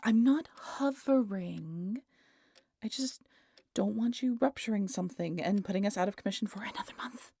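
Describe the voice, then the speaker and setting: A woman's voice: medium pitch, moderate pace, creaky, warm tone, slightly rough, dry recording, clean studio sound. Woman acting a sardonic, teasing vampire woman. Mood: impatient. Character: teasing, sarcastic, foul-mouthed female vampire travelling with a hunter. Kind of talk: scripted roleplay audio drama.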